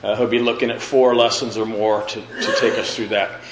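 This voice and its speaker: A man's voice: lowish voice, level tone, moderate pace. A man teaching an informal church Bible class, repeating and rephrasing his questions for emphasis as he addresses the group. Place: large echoey indoor room with a class present. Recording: very echoey room with faint noise.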